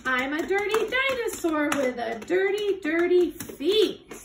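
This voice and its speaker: A woman's voice: very high voice, expressive, takes it slowly. A woman in her thirties reading a picture book aloud to children like a teacher, encouraging them to join in, recorded on a phone in a living room. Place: living room at home, indoors. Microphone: phone camera from a few steps away. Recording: roomy echo, distant phone mic, background noise.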